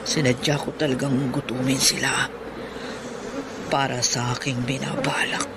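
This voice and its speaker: A man's voice: mid-range voice, flat delivery, a little slow, lightly acted. An actor playing a vengeful old widowed woman who is a sorceress, with a menacing, threatening manner, on a noisy radio drama track with buzzing bees behind. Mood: sinister. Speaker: villain in a radio horror drama.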